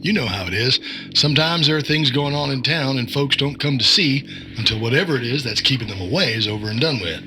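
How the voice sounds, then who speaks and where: An actor playing a sinister police officer posing as a line cook in an audio drama, unhurried, folksy and knowing. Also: male voice, medium pitch, expressive, background noise, natural voice. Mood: casually knowing.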